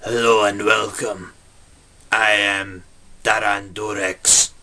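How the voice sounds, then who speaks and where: A man putting on a pushy foreign ad pitchman. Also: little pitch movement, takes it slowly, pushed and bright, soft background music, heavily acted. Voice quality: broken English. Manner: blunt, salesy.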